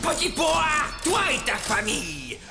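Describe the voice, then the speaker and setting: A woman voicing a pompous, bossy alien tyrant king in a cartoon who shouts orders. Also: a little high, sing-song, measured pace, vocal fry, exaggerated voice. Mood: threatening. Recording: echoey cartoon soundtrack with music.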